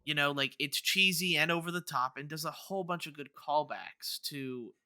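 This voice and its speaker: A man's voice: on the high side, moderate pace, sharp tone, clean tone, no echo, clean studio sound. A man co-hosting a podcast in casual debate, hesitant and thinking aloud as he talks. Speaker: podcast co-host.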